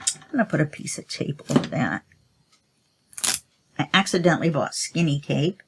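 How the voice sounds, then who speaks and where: A woman's voice: low voice, expressive, normal speed, a little hiss or hum. A woman with a stuffy nose, talking to herself while she demonstrates a craft project in a casual tutorial. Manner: chatty, self-talking while working, sniffly. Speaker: crafting channel host.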